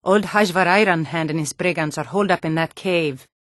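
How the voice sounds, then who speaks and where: A woman's voice: lowish voice, a little fast, no echo, studio quality, lightly acted. An actress voicing a hardy, no-nonsense Nord townswoman and guard in a fantasy video game, with a firm, plain-spoken delivery. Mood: steady, watchful. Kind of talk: scripted fantasy game dialogue.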